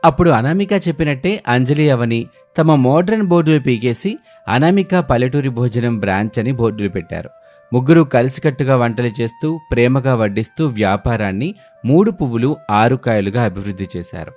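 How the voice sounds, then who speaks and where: A man's voice: medium pitch, lively intonation, brisk, darkish tone. A man narrating a scripted moral family story in a storyteller's style. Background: background music.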